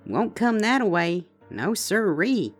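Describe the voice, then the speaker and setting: A man's voice: sits high, sing-song, slow, slightly rough, faint music behind, pitched above the performer's own voice, full character voice. A man voicing a kind but stern big-sister farm mare with a folksy Southern drawl. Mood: coaxing.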